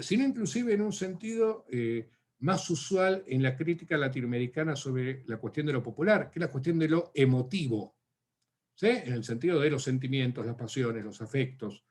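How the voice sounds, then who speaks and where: A man's voice: mid-range voice, a little mellow. A man with an Argentine accent answering questions in an academic seminar, thoughtful and full of fillers and restarts. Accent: Argentine Spanish. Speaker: academic speaker.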